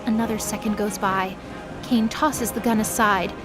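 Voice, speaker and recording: A woman's voice: medium pitch, steady tone, normal speed, music in the background. A woman reading scripted narration for an audio drama, in the role of storyteller.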